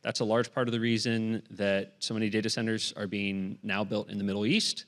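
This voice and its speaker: A man's voice: lowish voice, flat delivery, a little fast. Casual male panelist in a reverberant hall. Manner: casual, thinks aloud with "like" and "you know". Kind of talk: live panel discussion.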